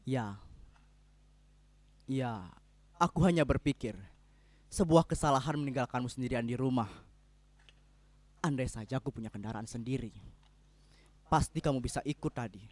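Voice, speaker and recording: An actor playing an anxious, idealistic husband in a dramatic reading, speaking in an earnest, apologetic manner. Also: male voice, medium pitch, moderate pace, long pauses, a little mellow, no echo, natural voice. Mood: guilty, worried. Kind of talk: dramatic reading of a stage play.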